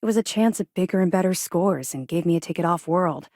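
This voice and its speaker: An actress playing a disciplined, earnest space-navy officer with a crisp military manner in a video game. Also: female voice, on the low side, fairly quick, darkish tone, no echo, clean studio sound. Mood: wry, reflective. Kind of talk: video game character dialogue.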